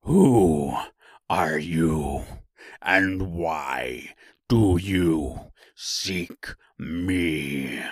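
Huge roaring beast, deep and slow. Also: male voice, lively intonation, dry recording, clean studio sound, exaggerated voice. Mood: imperious, booming. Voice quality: growling, gruff roar. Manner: slow, drawn-out, commanding. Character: huge terrible male beast, imperious and menacing.

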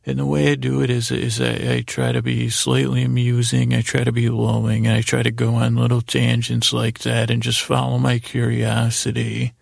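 A man with an extremely creaky, husky voice of middling pitch, recorded close and dry. He delivers an improvised bedtime monologue as a podcast host. His delivery meanders and stammers, circling back on half-finished phrases. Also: completely flat delivery, no echo. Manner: halting, meandering, stammers over words.

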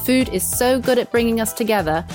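A woman's voice: fairly high pitch, fairly quick, darkish tone, soft background music. A woman who is a chef, co-hosting a food podcast and reading a sponsor message in an upbeat way. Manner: upbeat, scripted delivery. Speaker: podcast co-host, plant-based chef.

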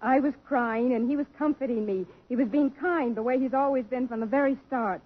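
A woman's voice: high-pitched, fairly quick, breathy edge. A heartsick girl of twenty. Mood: earnest. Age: 20s. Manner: emotional, pleading. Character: young woman about twenty, heartsick. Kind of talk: 1950s radio drama acting. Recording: thin phone-like old radio broadcast.

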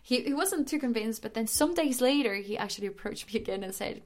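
A woman's voice: on the high side, lively intonation, a little fast. Colombian-born woman telling her life story. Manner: chatty, frequent restarts and fillers, laughs while telling. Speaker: podcast host. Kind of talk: personal storytelling monologue.

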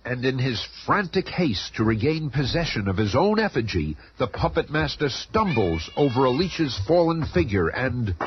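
An actor narrating a superhero radio serial in an urgent, dramatic style. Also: male voice, medium pitch, big pitch swings, normal speed, few pauses, lightly acted. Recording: dull, clearly noisy old radio recording.

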